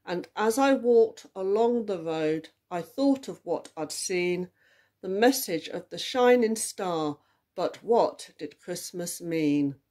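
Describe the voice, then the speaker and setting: A woman reading a ballad poem aloud in a measured recitation. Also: on the low side, lively intonation, a little mellow. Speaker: church group leader reading aloud.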